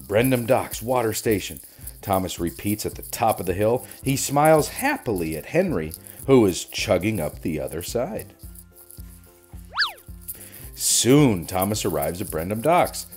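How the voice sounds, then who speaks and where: A man narrating a children's storybook in an expressive read-aloud style. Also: on the low side, measured pace, husky. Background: music.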